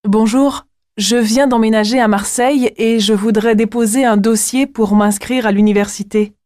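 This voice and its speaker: A woman's voice: fairly high pitch, fairly even intonation, moderate pace, darkish tone, no echo, clean studio sound, natural voice. A woman playing a polite young woman in a scripted language-exam dialogue.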